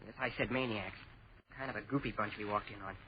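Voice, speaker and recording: A short-tempered young American man, played by an actor snaps along quickly in a highish voice. It is panicky vintage radio horror acting on a dull, noisy recording. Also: fairly even intonation, natural voice. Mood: impatient. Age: young adult.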